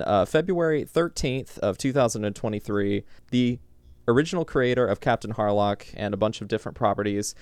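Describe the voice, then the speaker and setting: A man with a low, smooth and resonant voice, hosting a podcast. He talks in an orderly, steady way, setting up the discussion. The sound is close and dry, with no room behind it. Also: no room sound. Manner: steady, orderly, introducing the topic. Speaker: podcast host. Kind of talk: podcast chat and episode introduction.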